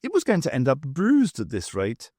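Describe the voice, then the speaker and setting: Male audiobook narrator, medium pitch, very lively intonation, dramatic scripted storytelling, dry sound. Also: normal speed, no room sound. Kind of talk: scripted fiction narration with character voices.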